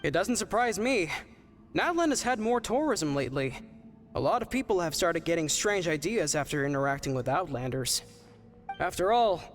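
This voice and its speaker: A man's voice: on the high side, natural voice. A man playing a matter-of-fact young shaman disciple in a video game, heard with long reverb. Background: background music.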